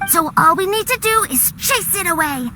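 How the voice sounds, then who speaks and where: Stubborn small child, very high voice, insistent, game cutscene. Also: female voice, animated, moderate pace, sharp tone, a little hiss or hum, heavily acted. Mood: eager. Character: stubborn young village child who trusts their dad. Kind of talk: video game cutscene voice acting. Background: game music.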